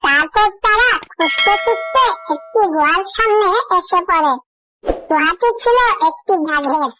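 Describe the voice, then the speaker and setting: A woman's voice: very high-pitched, expressive, a little slow, noisy background. A woman reading a scripted fairy tale for children as the storyteller.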